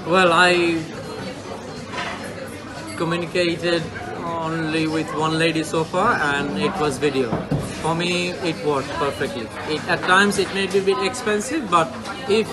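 A man's voice: on the high side, fairly even intonation, a little slow, a crowd in the background, poor audio. A man from Bangladesh in his thirties, answering interview questions casually, hesitant with many fillers, seated indoors by a brick wall. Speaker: interviewee, client.

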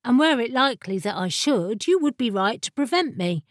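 A woman's voice: a little high, lively intonation, moderate pace, no echo, studio quality, natural voice. A woman voicing a headstrong, inquisitive female fire creature in a storybook, pert and formally articulate. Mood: pert, reasoning.

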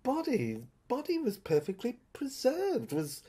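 A woman's voice: fairly high pitch, huge pitch swings, unhurried, dark tone, husky, heavily acted. A woman performing a haunted, agitated narrator in an audio drama monologue, stammering and repeating words. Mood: halting recall. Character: haunted, agitated narrator recalling an uncanny asylum.

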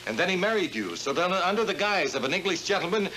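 An actor playing an earnest, bumbling Scotland Yard inspector in a stagey, emphatic 1950s television sketch. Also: male voice, high-pitched, sing-song, fast talker, pushed and bright, exaggerated voice. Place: television studio stage set. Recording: very noisy old TV soundtrack.

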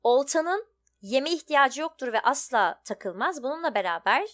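A woman hosts a gaming video in a fairly high voice. She talks casually and chattily, thinking aloud while she plays. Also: sing-song, normal speed. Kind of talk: casual let's play commentary.

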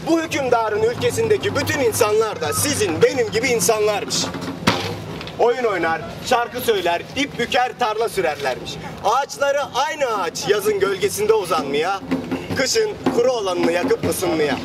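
A man telling a fairy tale as street theatre, in a declamatory way with dramatic pauses and repetitions. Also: extremely high pitch, big pitch swings. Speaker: storyteller, street theatre performer. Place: outdoors on a city street. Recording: distant outdoor street recording under loud music.

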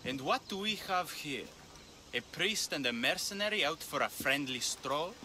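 An actor playing a menacing enforcer of an ancient priesthood in a video game, smugly polite and threatening. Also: male voice, on the high side, expressive, normal speed, bright, forceful tone, faint music behind. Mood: mock-polite. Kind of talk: video game drama acting.

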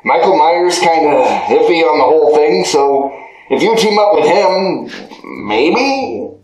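A man's voice: a little high, animated, unhurried, darkish tone. A man voicing a cocky male ice-powered fighter who teases and laughs at his own jokes. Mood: amused. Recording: echoey room, faint music.

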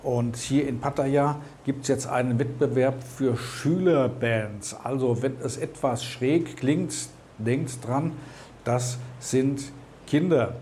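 A man's voice: mid-range voice, takes it slowly, mellow, a little hiss or hum. A man in his sixties from Germany chatting and explaining as he hosts a casual travel vlog. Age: 60s. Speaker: vlog host.

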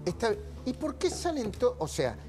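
Animated middle-aged Argentine man on TV panel. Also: extremely high pitch, moderate pace, faint music behind. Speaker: TV political panel host. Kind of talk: animated political panel discussion. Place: TV news studio.

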